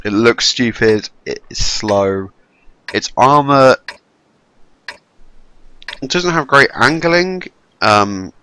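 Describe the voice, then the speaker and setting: A man's voice: medium pitch, lively intonation, very slow, long pauses, bright, forceful tone, a little hiss or hum. A young man with a stuffy head cold giving rambling, wry commentary over a tank game. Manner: rambling, wry, self-deprecating. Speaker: gameplay commentator. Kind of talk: casual gameplay commentary. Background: game sounds, gunfire and engines.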